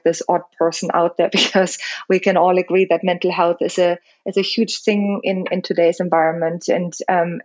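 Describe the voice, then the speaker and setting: A middle-aged German woman speaks English as her second language. Her voice is low and fairly flat. She answers interview questions reflectively, with fillers and restarts along the way. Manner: thoughtful, with many fillers and restarts. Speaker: founder of a fitness studio chain.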